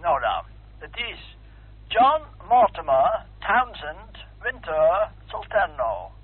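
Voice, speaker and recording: A man's voice: high voice, big pitch swings, takes it slowly, slightly rough, narrow, tinny audio, heavily acted. An actor playing a polite, hesitant, fussy male library clerk speaking on the phone in a vintage Dutch radio play. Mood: flustered. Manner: hesitant, formal, obliging. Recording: thin telephone sound, vintage radio recording.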